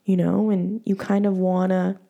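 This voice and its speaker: Chatty woman podcast host with a mellow, warm voice and loose, filler-heavy talk. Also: medium pitch, little pitch movement, moderate pace, vocal fry, highs cut off. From United States. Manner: chatty, many fillers and restarts. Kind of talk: casual podcast chat.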